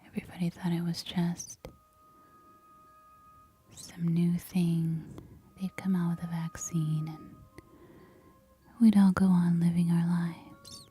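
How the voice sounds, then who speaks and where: Casting: female apocalypse survivor and rescuer for ASMR roleplay, played by a woman. Frayed, war-weary, whispered throughout, very slow and soft-toned. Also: fairly low pitch, little pitch movement, soft background music, full character voice. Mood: haunted, somber. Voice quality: breathy, unvoiced whisper. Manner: slow, hushed, halting delivery in short phrases.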